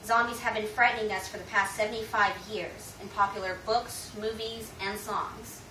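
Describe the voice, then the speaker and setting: A woman's voice: mid-range voice, fairly even intonation, moderate pace, recorded from a distance. An American woman giving a prepared informative speech in a formal, rhetorical presenting style. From United States. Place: indoor room, speaking to an audience. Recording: echoey room from afar, loud noise.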